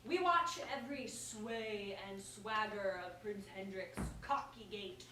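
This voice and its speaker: A woman's voice: medium pitch, slow pace, close to an everyday voice. A woman playing a gracious, witty commoner elected king in a staged reading of a verse comedy. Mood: wry, assured. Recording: echoey room from far off, noisy.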